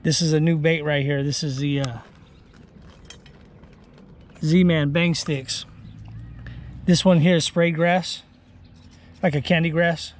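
A man's voice: on the high side, slow, pauses often. A man vlogging casually from a small fishing boat, talking through his baits in an offhand way, recorded on an action camera outdoors. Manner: relaxed, offhand bait rundown with fillers.

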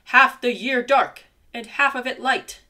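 A woman voicing a big, fat, lazy male bear in a spoken folktale, with a deep gruff growl, loud and slow. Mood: roaring.

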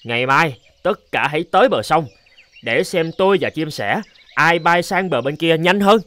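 A man's voice: fairly high pitch, expressive, normal speed, pushed and bright. A man voicing a boastful, scornful, scheming crow in a children's cartoon, with a smug, theatrical delivery. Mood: challenging.

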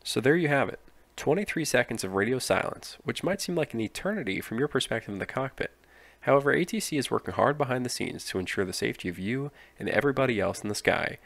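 A man giving scripted explainer narration in a clear, instructive manner. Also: lowish voice, a bit bright, no room sound. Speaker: narrator explaining air traffic control.

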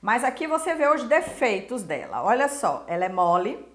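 A woman's voice: fairly high pitch, big pitch swings, moderate pace. A Brazilian woman hosting a casual cooking lesson, chatty and emphatic as she talks straight to the viewer. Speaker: cooking show host. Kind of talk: casual instructional talk to camera. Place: small indoor kitchen. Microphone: lapel mic. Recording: roomy kitchen echo.

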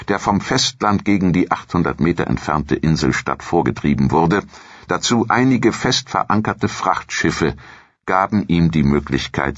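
A man reading scripted history narration as a documentary narrator in a measured, formal, authoritative manner. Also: extremely low pitch, fairly bright tone, slightly rough, no room sound, muffled recording.

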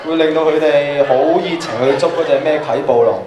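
A man's voice: a little high, brisk, noisy background. A man with a Hong Kong Cantonese accent improvising a story in a group. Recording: dull echoey auditorium, chattering crowd.

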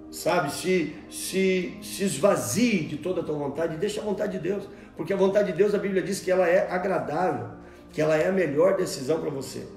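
A man in his forties preaching a sermon from a table at home, animated and repeating words as he searches for them. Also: high-pitched, echoey room. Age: 40s. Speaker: pastor. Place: room at home, at a table with Bibles.

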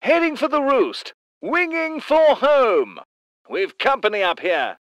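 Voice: high voice, sing-song, takes it slowly, fairly bright tone, no echo, highs cut off, heavily acted. An actor voicing a cocky British military aviator in a video game, wry, informal and slangy. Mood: alert. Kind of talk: video game unit voice lines.

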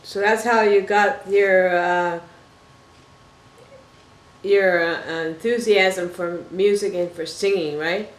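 A woman's voice: fairly low pitch, fairly even intonation, takes it slowly, pauses often. An older woman from Toronto hosting a casual interview, folksy and fond of pet names. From Toronto, Canada. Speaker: talk show host, interviewer. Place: small living room, indoors. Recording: roomy, echoing living-room sound.

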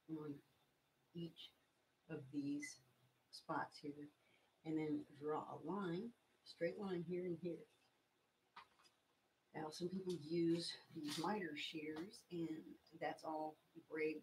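A woman's voice: sits low, a little mellow. Scratchy-voiced woman crafting live. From Texas. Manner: chatty, rambling, stops to explain each step. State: scratchy voice from illness. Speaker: craft livestream host. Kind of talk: casual craft tutorial livestream chat. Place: small home craft room, indoors.

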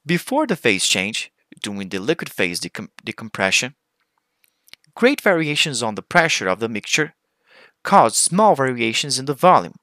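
A man giving a formal, scripted conference presentation as a researcher, with deliberate phrasing. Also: medium pitch, bright, forceful tone, dry recording.

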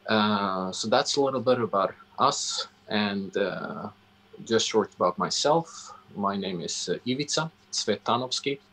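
A man in his forties with a Swedish accent, speaking haltingly and deliberately as he answers interview questions, often searching for words. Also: on the low side, lively intonation, a bit bright. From Sweden. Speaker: interview guest, coffee roastery founder. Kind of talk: interview answers on a video call. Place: indoor room, on a video call. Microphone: video call microphone.

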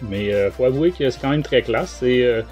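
A middle-aged man with a Québécois French accent, hosting a casual podcast chat in hesitant, halting phrases. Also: mid-range voice, a little mellow, soft background music. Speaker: podcast host. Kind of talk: casual talk show chat.

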